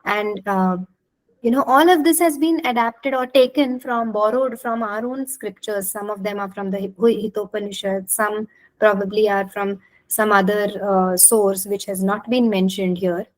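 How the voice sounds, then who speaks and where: A woman's voice: mid-range voice, steady tone, moderate pace, rough recording. An Indian woman from Maharashtra, a native Marathi speaker, giving a conversational, encouraging online talk and inviting participants to respond. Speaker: speaker leading an interactive talk, clinical psychologist. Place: online video call.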